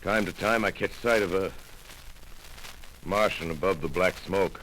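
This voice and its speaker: A brooding, haunted astronomer, left alone in the world. His deep, low voice halts between words as he speaks in a 1930s radio play. A faint hiss from the old broadcast sits under every line. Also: a bit bright, low-quality recording. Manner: brooding, halting, introspective. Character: lone shaken male astronomer, middle-aged survivor. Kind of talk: 1930s radio drama monologue.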